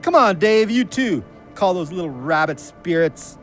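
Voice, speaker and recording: A loyal, joking hunting pal in an audio play. The voice is high and very lively in pitch, colloquial, with faint music behind. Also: male voice, measured pace, exaggerated voice. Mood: teasing. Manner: teasing, colloquial. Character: joking, loyal hunting buddy, increasingly wary. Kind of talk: audio drama acting.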